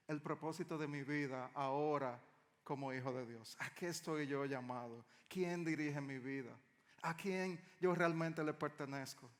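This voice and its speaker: Dominican guy preaching a sermon, kinda higher voice, talks conversational to the congregation, big echoey church hall. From Dominican Republic. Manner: conversational, rhetorical questions. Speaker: pastor. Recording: echoey hall with long reverb.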